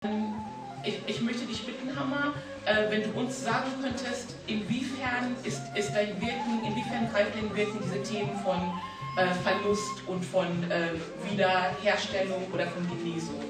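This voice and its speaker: A woman moderating a panel, asking a question haltingly with many fillers and restarts. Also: mid-range voice, fairly even intonation, loud music behind the voice. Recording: echoey hall, far from mic.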